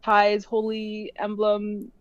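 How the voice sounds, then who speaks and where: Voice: medium pitch, monotone, takes it slowly, no echo. A tabletop game player who describes their character's actions in a chatty, rambling way with many fillers. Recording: dull, dry lo-fi mic.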